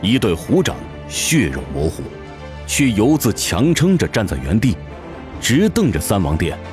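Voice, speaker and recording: Male narrator, very animated pitch, theatrical, intense fantasy storytelling, clear background music. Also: unhurried. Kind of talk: dramatic scripted fantasy narration. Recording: music behind the voice.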